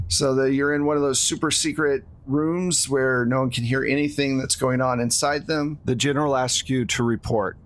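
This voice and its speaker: A man's voice: mid-range voice, steady tone, moderate pace, hardly any pauses. A man acting as game master, improvising tabletop game narration in a conversational way.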